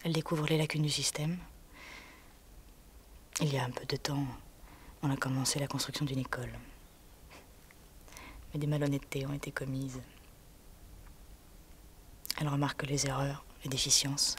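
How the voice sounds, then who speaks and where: An actress delivering a theatrical monologue in a hushed voice that often drops to a whisper, pausing as she tells a story. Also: rumbling low, level tone, slight background noise.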